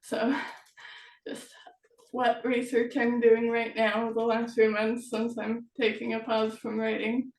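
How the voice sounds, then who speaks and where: Woman author chatting, higher voice, stops and starts, kinda far from the mic. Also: monotone, slow pace, darkish tone. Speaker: host, author of historical novels. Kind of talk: casual chat about writing. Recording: roomy echo, distant from the mic.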